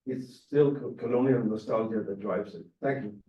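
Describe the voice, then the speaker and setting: A man's voice: on the low side, moderate pace, slight background noise. A man giving a talk with slides on a panel, in an academic way with hesitant restarts. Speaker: panel speaker. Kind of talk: lecture with slides. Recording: roomy, distant, dull sound.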